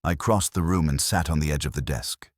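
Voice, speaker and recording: A man reading a first-person detective story as scripted narration, at an even, unhurried pace. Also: very deep voice, dry recording, clean studio sound.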